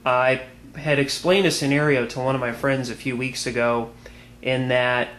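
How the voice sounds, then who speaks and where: An American man giving an informal product demonstration as a video reviewer, plain-spoken and unhurried. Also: medium pitch, level tone, a bit bright. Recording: clearly noisy amateur video sound.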